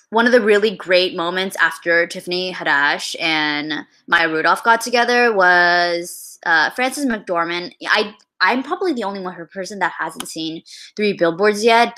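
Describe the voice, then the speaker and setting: A young woman with a slightly low voice, talking casually on a film livestream. She gushes and rambles with plenty of fillers and soft sighs. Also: animated, measured pace. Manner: chatty, gushing, full of fillers and trailing sighs. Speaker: film vlogger hosting a livestream.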